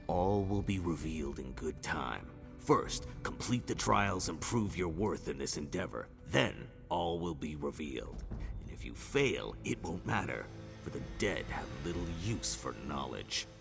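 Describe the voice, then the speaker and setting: A man playing a stern, devout orc elder in a fantasy video game, with a gravelly voice and a grave, measured, cryptic manner. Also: very animated, full character voice. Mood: patient, ominous. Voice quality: very deep, gravelly. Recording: background music under the voice.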